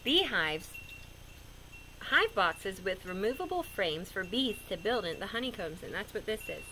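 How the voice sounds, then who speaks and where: A woman's voice: medium pitch, big pitch swings, measured pace, pushed and bright, a little hiss or hum, poor audio. A woman reading a picture book aloud to children, expressively, with simple explanatory asides. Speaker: read-aloud storyteller for children.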